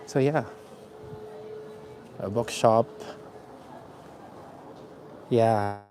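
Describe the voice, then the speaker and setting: A man from the Philippines hosting a live walking tour outdoors, talking in a relaxed, chatty way with many fillers. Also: medium pitch, drawn-out words. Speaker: vlogger. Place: city streets outdoors. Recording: faint street noise outdoors. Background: street traffic and ambience.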